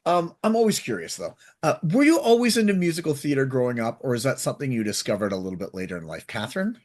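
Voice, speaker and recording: A man hosting a radio interview, prompting guests with brisk, upbeat questions. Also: mid-range voice, sing-song. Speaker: radio show host.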